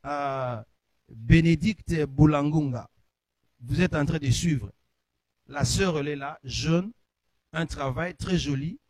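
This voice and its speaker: A man's voice: on the high side, takes it slowly, pauses often, darkish tone, no room sound. Guy hosting a gospel chat show, lots of amens, dry mic. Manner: affirming interjections, amen and hmm. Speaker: host.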